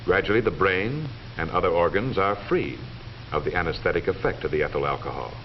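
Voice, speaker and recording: Male narrator, American, deep and authoritative, for vintage instructional film; muffled period recording with faint noise. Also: expressive. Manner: formal, measured, authoritative delivery. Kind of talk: 1940s scripted educational film narration.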